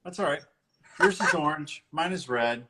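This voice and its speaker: A man's voice: on the high side, normal speed, pushed and bright. A middle-aged man hosting a casual live interview over a video call, talking in an informal, chummy way. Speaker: interview show host.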